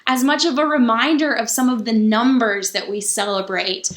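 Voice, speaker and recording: A woman pastor reading a children's book aloud for story time, pausing to explain things simply to young listeners. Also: fairly high pitch, fairly even intonation. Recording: roomy, echoing sound.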